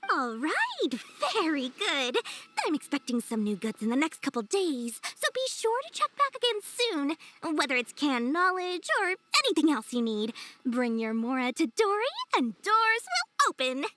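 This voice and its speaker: A woman's voice: high voice, very animated, moderate pace, pushed and bright, slight background noise, full character voice. A woman voicing a small, sly, greedy merchant girl in a video game, gushing with theatrical, overly courteous sales patter. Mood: smug, courteous. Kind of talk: video game cutscene acting.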